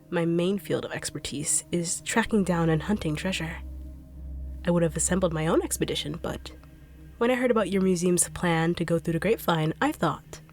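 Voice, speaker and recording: Woman doing a real low smug villain treasure hunter lady, slow teasing whispers. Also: natural voice. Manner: taunting, purring, slow teasing delivery with whispered asides. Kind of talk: audio roleplay drama.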